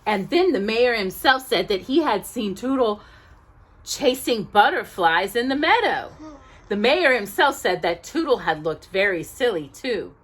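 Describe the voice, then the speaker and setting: A woman reads a children's story in an expressive way. Her pace is slow and her pitch moves a great deal, with deliberate, drawn-out emphasis meant for young listeners. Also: breathy edge.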